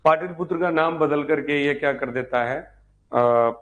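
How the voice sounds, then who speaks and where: A man's voice: a little high, measured pace, lo-fi sound. A man giving an informal online history lecture as a teacher, repeating key points and asking check questions. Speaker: history teacher for exam preparation.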